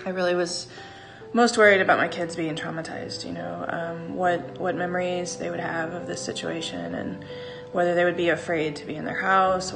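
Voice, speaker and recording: A woman reflecting candidly as a mother, halting and earnest. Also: fairly low pitch, gravelly. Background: guitar music.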